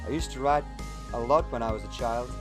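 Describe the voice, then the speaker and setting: A man voicing a young blonde woman poet in a put-on Finnish accent, speaking slowly and casually. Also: medium pitch, over music, natural voice. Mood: shy. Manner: slow and casual.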